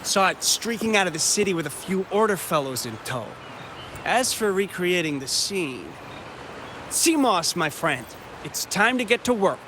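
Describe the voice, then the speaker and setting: A high-pitched, flamboyant filmmaker. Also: male voice, big pitch swings, normal speed, noisy background. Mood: grand, eager. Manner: florid, theatrical, effusive. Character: flamboyant, excitable male filmmaker, courteous and grandiose. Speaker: film studio head, non-player character. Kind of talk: theatrical game voice acting.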